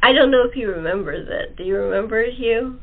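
A woman's voice: a little high, a little fast. Tinny phone-line American woman host, chatty. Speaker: talk show host. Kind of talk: casual chat interview.

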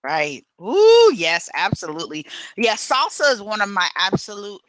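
A woman hosting a radio show, bubbly and quick to exclaim and laugh, chatting casually with her guest. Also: mid-range voice, big pitch swings, dry recording, low-quality recording. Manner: bubbly, exclaims and laughs, fillers like you know.

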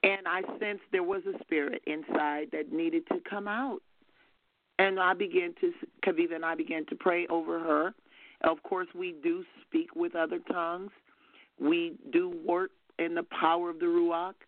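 An older woman minister, soft-spoken but fervent, telling a story as testimony on a call-in broadcast. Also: fairly low pitch, flat delivery, normal speed, no echo. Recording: phone-line audio.

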